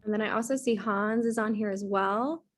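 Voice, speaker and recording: A woman moderating a video call, introducing speakers with brisk, upbeat hand-offs. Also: medium pitch.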